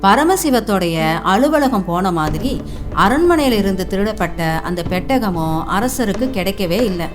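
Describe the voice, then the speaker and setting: A woman's voice: medium pitch, animated, normal speed. Woman narrating a story over music.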